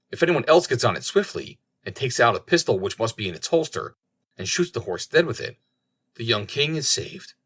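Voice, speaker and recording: A man's voice: medium pitch, breathy edge. A man voicing a knowing raven in a storybook tale, speaking in a dramatic, ominous and declaiming manner. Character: knowing raven foretelling doom to a companion.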